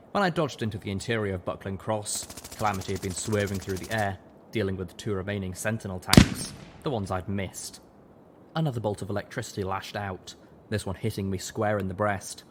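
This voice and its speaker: A man's voice: on the low side, a little hiss or hum. A man reading scripted first-person story narration as an audiobook narrator. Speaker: audiobook reader, show narrator.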